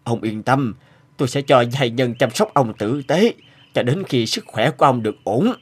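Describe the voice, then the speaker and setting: An actor playing a gentle, generous, wealthy middle-aged father in a cartoon. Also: medium pitch, lively intonation, normal speed, husky. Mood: reassuring. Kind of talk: cartoon dubbing. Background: music.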